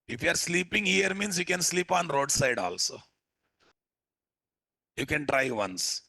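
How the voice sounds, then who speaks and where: Rough-voiced male instructor. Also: a little high, a little slow, sharp tone, no echo. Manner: scolding, teasing, keeps asking class questions. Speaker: programming instructor. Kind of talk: live coding class lecture.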